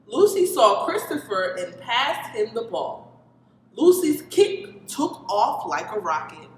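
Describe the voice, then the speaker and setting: A woman reading a children's story aloud in an animated storytelling style. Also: on the high side, slow pace. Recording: reverberant hall with background noise.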